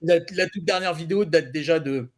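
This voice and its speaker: Male podcast panelist, fairly high voice, casual and hesitant in explaining. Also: a little fast, a loud crowd. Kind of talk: casual chat.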